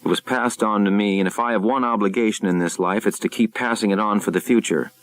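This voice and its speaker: A man acts out a proud seafaring captain in an audiobook novel. The voice is low and blunt, with stubborn force behind it, recorded dry. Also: fairly even intonation, fast, dry recording, lightly acted. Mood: bitter, stubborn.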